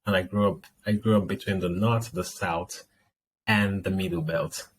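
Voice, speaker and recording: A Nigerian man speaks as a guest in a casual interview, in a fairly low voice. He explains things as he goes, with faint background noise around him. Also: fairly even intonation, moderate pace.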